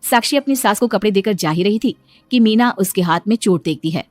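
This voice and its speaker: A woman's voice: mid-range voice, a little fast, darkish tone, dry recording. A woman reading scripted story narration, telling a family tale as a narrator.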